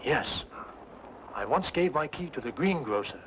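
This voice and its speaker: An actor playing a fussy, flustered, indignant English gentleman in an old-time radio drama, with a British accent and a prim, sputtering delivery. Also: a little high, expressive, unhurried. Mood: puzzled, eager. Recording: dull, noisy old radio recording.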